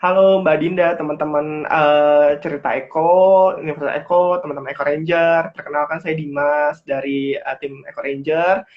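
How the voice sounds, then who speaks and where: A man's voice: fairly high pitch, fairly even intonation, moderate pace, few pauses. A man with a stuffy nose, a guest joining a talk by video call and chatting casually. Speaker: guest speaker. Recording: dull lo-fi video call audio.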